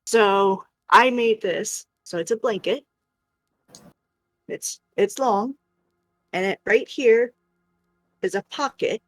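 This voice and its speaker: A woman giving a casual show-and-tell of her crafts on a livestream, explaining in a halting, self-interrupting way. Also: medium pitch, no room sound. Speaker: crafter showing crochet projects on a livestream.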